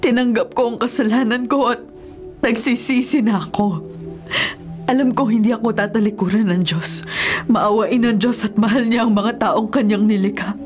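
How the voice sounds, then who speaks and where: A woman's voice: a little high, normal speed, faint music behind, natural voice. An actress playing a remorseful, devout middle-aged mother with a Filipino accent, earnest and solemn, in a radio drama.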